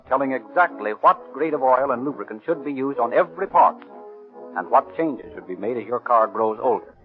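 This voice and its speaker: Male announcer: mid-pitched, formal vintage radio delivery, narrow tinny recording, some music behind. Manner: formal, declamatory. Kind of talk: 1930s radio narration and sponsor announcing. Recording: tinny band-limited 1930s radio recording.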